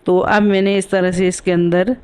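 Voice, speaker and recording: A woman's voice: medium pitch, little pitch movement, moderate pace, darkish tone, clear, resonant tone. A woman with a sore throat giving a casual voice-over for a DIY craft tutorial as the host.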